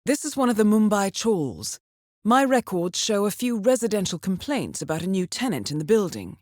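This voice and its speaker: An actress playing a composed, formal and dryly witty intelligence handler who briefs an assassin in a video game. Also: female voice, medium pitch, sing-song, normal speed, a little mellow, no room sound, studio quality. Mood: composed, businesslike. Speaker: mission handler.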